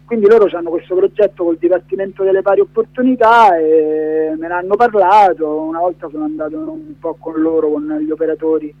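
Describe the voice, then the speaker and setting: Man, high voice, radio guest, hesitant and discursive, dull lo-fi phone-in sound. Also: brisk, hardly any pauses. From Rome area, Italy. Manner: hesitant, discursive, many hedging fillers. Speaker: documentary director, interview guest. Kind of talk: spontaneous radio interview.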